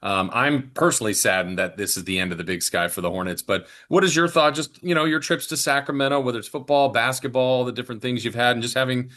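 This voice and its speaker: Fast, bright, lower-pitched man hosting sports talk. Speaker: sports podcast host. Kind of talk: conversational interviewing and hosting.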